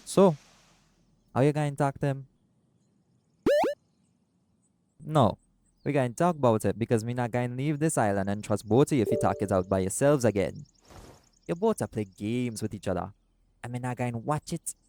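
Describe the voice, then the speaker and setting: A man voicing a doting, laid-back seagull shifter boyfriend in an intimate audio roleplay, speaking softly and affectionately. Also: mid-range voice, lively intonation, a little fast, background noise, lightly acted. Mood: firm. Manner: soft-spoken, affectionate, creole-inflected speech.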